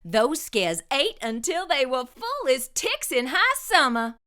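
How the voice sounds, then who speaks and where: A woman hosting a story time and reading a picture book aloud, expressive and sing-song. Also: high-pitched, slow pace. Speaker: storyteller host.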